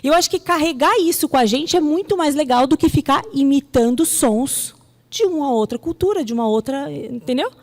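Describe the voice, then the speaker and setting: A Brazilian woman with a fairly high voice gives a public talk in a reverberant hall. She speaks emphatically but conversationally, like a teacher answering questions, and keeps checking that listeners follow. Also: a little fast. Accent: Brazilian Portuguese. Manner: animated, conversational, emphatic, with frequent rhetorical questions and check-ins. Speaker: English teacher and translator giving a talk. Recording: echoey hall with long reverb.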